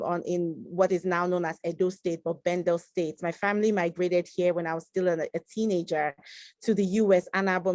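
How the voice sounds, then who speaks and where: A woman from Nigeria, a physician and professor, whose first language is Igbo, speaking as a guest in an earnest, articulate, measured way with emphatic stresses. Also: fairly low pitch, a little mellow, no echo. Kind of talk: interview on a talk show.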